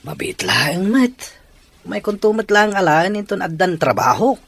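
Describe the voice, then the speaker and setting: A man's voice: sits high, very animated, unhurried, fairly bright tone, heavily acted. A man acting a struggling, jobless father who is firm with his young daughter, in an Ilocano radio drama with an Ilocano accent. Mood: pleading.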